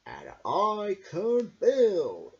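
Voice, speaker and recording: A sneering storybook sorcerer played by a woman, pompous and full of menace. The words come slowly and with heavy swagger. The recording is slightly dull. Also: medium pitch, wildly swooping pitch, pitched above the performer's own voice, exaggerated voice. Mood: menacing, boastful. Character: sneering, wicked, boastful male sorcerer.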